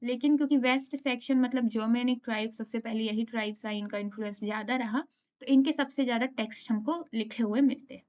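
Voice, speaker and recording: A woman with an Indian accent teaching a class, reading English notes aloud slowly and then explaining them again. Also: on the high side, highs cut off.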